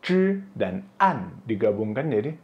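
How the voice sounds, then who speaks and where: A man teaching Mandarin pronunciation in a casual, instructive way, often saying "oke" and "nah", and sounding out syllables in high, drawn-out bursts. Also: very animated, a little mellow, muffled recording. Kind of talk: pronunciation lesson video.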